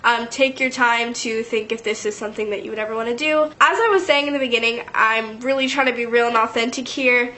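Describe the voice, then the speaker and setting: Congested young woman vlogger, bright voice. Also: fairly high pitch, steady tone, a little fast, few pauses. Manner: chatty, lots of "like" fillers, earnest. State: congested from a cold. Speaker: YouTuber. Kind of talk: casual storytime vlog.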